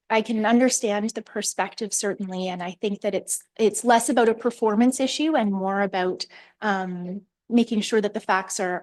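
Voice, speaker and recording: A woman on municipal staff calmly explaining a procedure at a council meeting, with frequent fillers. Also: medium pitch.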